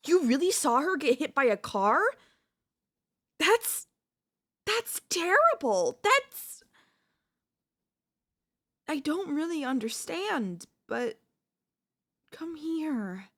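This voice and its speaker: A woman playing an anxious, doting and quietly controlling wife in an intimate ASMR audio roleplay, with breathy, hesitant, coaxing delivery. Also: sits high, sing-song, very slow, pauses often, heavily acted. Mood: shocked, then tender, soothing.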